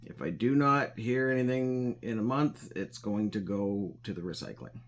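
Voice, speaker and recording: A middle-aged man talking casually and unscripted in a home vlog, halting and rambling as he thinks aloud. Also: fairly low pitch. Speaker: hobbyist electronics recycler and video host. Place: indoors at a home coffee table.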